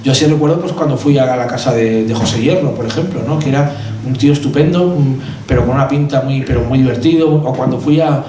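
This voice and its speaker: A middle-aged man from Madrid with a Castilian Spanish accent, an author presenting his book in an informal, anecdotal way, halting and rambling with repeated words. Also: mid-range voice, mellow. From Madrid, Spain. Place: event hall at a cultural centre. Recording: roomy echo, distant mic, background noise.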